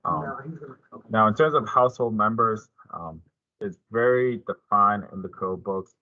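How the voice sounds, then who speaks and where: A man's voice: lowish voice, expressive, unhurried, dry recording, narrow, tinny audio. A man giving an informational presentation as a police detective, explaining things in a plain, informal way.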